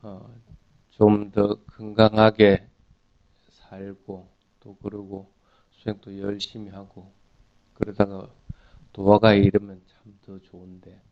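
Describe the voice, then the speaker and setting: A man's voice: fairly low pitch, monotone, slow, no echo. Man giving a Buddhist talk, dull recording. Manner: didactic, poses questions to listeners and answers them. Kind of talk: explanatory sermon with everyday examples.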